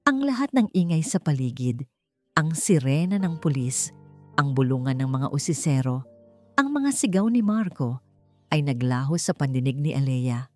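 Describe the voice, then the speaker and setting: Woman, low pitch, dramatic scripted narration, soft background music. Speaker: audiobook narrator.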